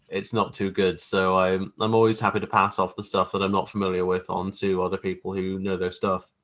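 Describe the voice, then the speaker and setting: A man's voice: on the low side, fairly bright tone. A man talking casually as an interview guest about his creative work, hesitant and thinking aloud. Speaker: guest, graphic artist.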